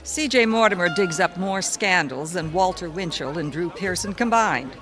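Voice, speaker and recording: An actress playing a brisk, polished publishing publicist in a radio mystery, businesslike and diplomatic. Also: female voice, lowish voice, expressive, a crowd in the background, close to an everyday voice. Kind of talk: old-time radio mystery acting.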